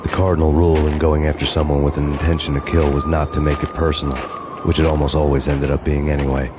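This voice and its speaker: An actor playing a weary, sardonic hard-boiled cop, narrating a video game in noir style. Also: male voice, rumbling low, quick pace, background noise. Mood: grim. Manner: flat, deadpan, sardonic.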